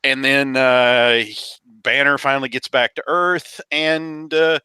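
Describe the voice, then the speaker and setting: A man chatting casually as a podcast panelist about film, haltingly repeating phrases as he builds his point. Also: medium pitch, steady tone, sharp tone, clean tone, no room sound.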